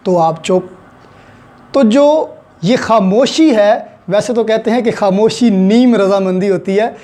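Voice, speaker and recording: Man, Pakistani accent, high voice, soft-edged mellow timbre, didactic lecture delivery, repeats points. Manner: didactic, spells out points with examples and repetition. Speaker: law teacher. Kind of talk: explanatory lecture mixing Urdu with English legal terms.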